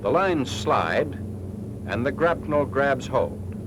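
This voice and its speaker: American male, mid-pitched, formal clipped instructional read for a 1940s military training film, noisy vintage soundtrack. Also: very animated, takes it slowly.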